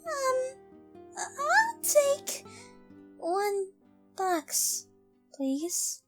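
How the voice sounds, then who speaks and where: A woman voicing a timid, gentle young pegasus pony, hesitant and apologetic, for a cartoon fan audio drama. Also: extremely high pitch, huge pitch swings, drawn-out words, soft background music, exaggerated voice.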